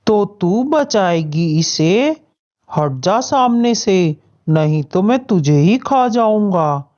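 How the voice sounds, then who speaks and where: A woman's voice: mid-range voice, animated, takes it slowly, darkish tone. A woman voicing a hungry male eagle in a cartoon, a taunting, threatening bully. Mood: menacing, mocking. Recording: dull, muffled lo-fi audio.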